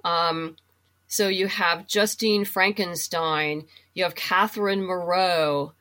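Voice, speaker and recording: Woman, slightly low-pitched, casual interview guest, conversational, explanatory, thinking out loud. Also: fairly even intonation, slow. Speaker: guest, comic book writer and novelist. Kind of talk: casual interview chat about books.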